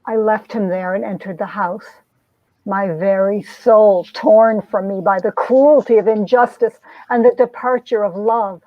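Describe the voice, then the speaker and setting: A woman's voice: mid-range voice, fairly even intonation, a little slow, no echo, lightly acted. A woman playing a wounded, proud young heroine, narrating and acting out a romance comic with earnest melodrama. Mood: melodramatic narration. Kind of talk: dramatic reading of a 1950s romance comic, narration and dialogue. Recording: dull, lo-fi sound.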